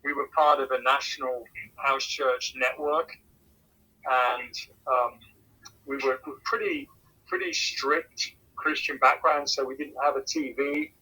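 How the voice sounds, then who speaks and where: Bright, husky Englishman. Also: medium pitch, animated, rough recording. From England. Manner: measured, repeats words as he thinks. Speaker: missions pastor. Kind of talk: casual phone interview answers.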